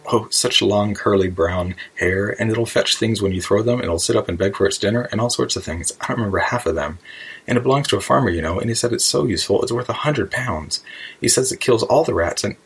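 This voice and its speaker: A man's voice: low voice, expressive, brisk, fairly bright tone, husky, full character voice, poor audio. A man voicing a polite, chatty, bewildered little girl in a children's storybook, earnest and apologetic. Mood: eager, chatty.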